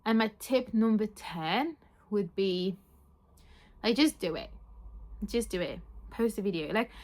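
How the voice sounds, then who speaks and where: A young woman whose first language is French, with an accent that mixes French and Yorkshire, chatting excitedly in a book vlog and saying "like" often. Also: medium pitch, a little slow. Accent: French mixed with Yorkshire. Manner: chatty, says "like" a lot, excitable. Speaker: book vlogger.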